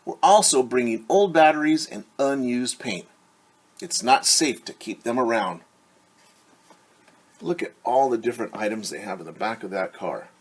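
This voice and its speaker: Guy in his 40s doing storytime for kids, kinda husky voice, explains stuff simply. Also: medium pitch, animated, moderate pace. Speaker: teacher reading a children's storybook. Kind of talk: read-aloud storytime with simple explanations for children. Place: small room at home.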